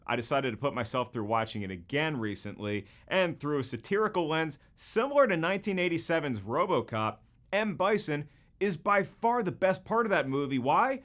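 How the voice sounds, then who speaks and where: Male comedian-host for a scripted video essay: lively, swinging intonation, slightly high voice, wry wisecracks. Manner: wisecracking, mock-congratulatory, rhetorical questions.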